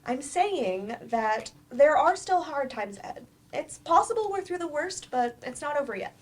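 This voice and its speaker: Woman acting a high-voiced, supportive therapist. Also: big pitch swings, moderate pace. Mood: measured, supportive. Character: measured, supportive female doctor, a therapist. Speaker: actor in a staged play reading. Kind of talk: stage play reading. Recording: noisy room at live staged reading.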